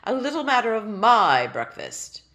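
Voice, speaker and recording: A tiny, grand old doll king, ponderous and booming for his size, drawing out each sigh of royal gloom, as a woman reads him aloud. His voice rises and falls with lively swings. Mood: dignified. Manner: slow, loud, stately and mournful. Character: tiny pompous elderly male doll king.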